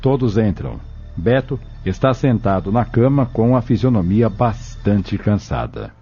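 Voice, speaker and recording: A man's voice: on the low side, big pitch swings, unhurried, mellow. A Brazilian man narrating an audio drama from a script. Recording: dull lo-fi audio with music behind. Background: music.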